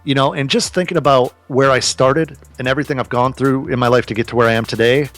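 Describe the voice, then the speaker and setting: A man's voice: medium pitch, fairly even intonation, quick pace, fairly bright tone, soft background music. A man in his thirties, a podcast guest, talking earnestly and casually about business, swearing now and then. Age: 30s.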